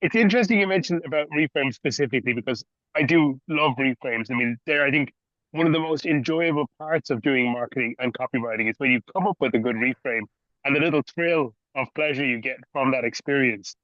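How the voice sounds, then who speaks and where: An Irish man speaks at a middling pitch as a podcast interviewer, chatting conversationally. The recording is very dry and slightly dull, with a lo-fi edge. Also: a little fast, a bit bright, dry recording. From Ireland.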